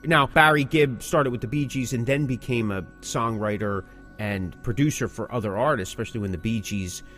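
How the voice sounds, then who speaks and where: A man's voice: mid-range voice, a little fast, fairly bright tone, soft background music. A man co-hosting a podcast in casual discussion, speaking emphatically and posing rhetorical questions. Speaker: podcast co-host. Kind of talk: casual music-industry discussion.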